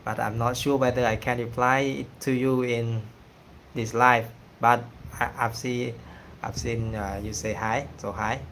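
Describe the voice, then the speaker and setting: A man from Cambodia with a Cambodian accent, whose first language is Khmer, talking casually on a livestream and pausing often with fillers. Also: medium pitch, level tone, a bit bright, a little hiss or hum. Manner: hesitant, many pauses and fillers. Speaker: singing channel host.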